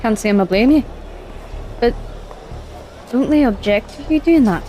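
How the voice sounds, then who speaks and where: A woman voices a level-headed space commander from Earth, dry and practical. Her delivery stays calm even under pressure. Soft music sits in the background. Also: on the high side, moderate pace, close to an everyday voice. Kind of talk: audio drama acting.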